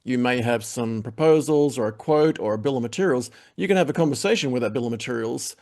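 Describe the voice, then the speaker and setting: Fast-talking male show host. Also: medium pitch, a little mellow. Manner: upbeat, promotional, rambling with fillers. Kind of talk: interview wrap-up with audience plugs.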